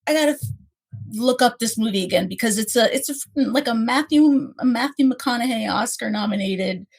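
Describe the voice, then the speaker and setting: A woman's voice: fairly high pitch, brisk, creaky. A woman co-hosting a film podcast, talking in an enthusiastic, chatty way with tumbling phrasing. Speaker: podcast co-host. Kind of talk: casual film industry chat.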